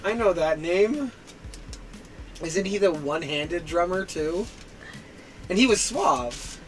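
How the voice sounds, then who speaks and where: A man's voice: high-pitched, lively intonation, slow pace, distant mic, faint music behind. A man chatting casually and joking beside a crafter, with a laid-back manner. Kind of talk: casual chat.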